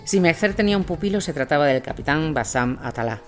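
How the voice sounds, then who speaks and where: A man's voice: fairly high pitch, lively intonation, fast talker. A man delivers scripted dramatic narration of a war story. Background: instrumental music.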